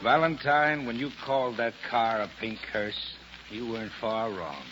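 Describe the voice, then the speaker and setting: An actor playing a gruff, sardonic male police lieutenant in a 1940s radio detective drama. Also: medium pitch, moderate pace, music in the background, close to an everyday voice. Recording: dull old radio with faint noise.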